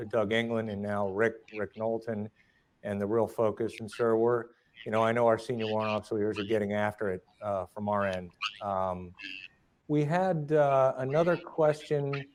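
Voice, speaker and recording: A man's voice: on the low side, fairly even intonation, moderate pace, a little hiss or hum. A man moderating a virtual question session on a video call, speaking deferentially and hesitating often with fillers.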